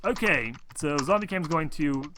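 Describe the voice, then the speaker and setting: A man acting as game master, casually narrating a tabletop game in an informal, halting way over a voice call. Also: a little high, animated, background noise. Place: remote online voice call.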